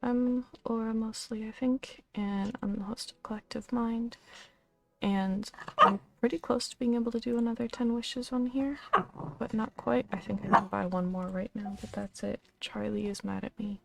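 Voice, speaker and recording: A young woman streaming a game from home, thinking aloud in a soft, rambling way and gently coaxing her pet between remarks. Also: on the high side, fairly even intonation, moderate pace, gravelly, poor audio. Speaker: streamer and channel host. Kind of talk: casual game-stream chatter.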